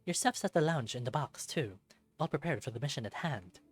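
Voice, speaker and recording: Female tiger teammate acted by a man for a visual novel: high, light, brisk and sassy, confident. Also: lively intonation, husky, faint music behind. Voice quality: light, raised voice.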